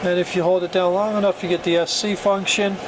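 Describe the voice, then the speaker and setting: A man's voice: high-pitched, flat delivery, fast. A man giving a casual, plainspoken, hands-on demonstration of equipment in an informal amateur recording. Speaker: product reviewer demonstrating a CB radio. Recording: noisy amateur recording, loud background hum.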